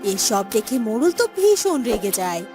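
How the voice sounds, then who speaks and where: A woman's voice: fairly high pitch, sing-song, normal speed. A woman's storytelling voice in scripted narration for a cartoon folk tale. Music plays underneath as she narrates.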